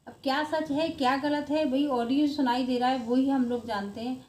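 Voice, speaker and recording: A woman's voice: high voice, fairly even intonation, normal speed, darkish tone. A woman giving informal vlog commentary in an earnest, emphatic way that often circles back on itself. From India. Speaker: video blogger. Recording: echoey room with faint background noise.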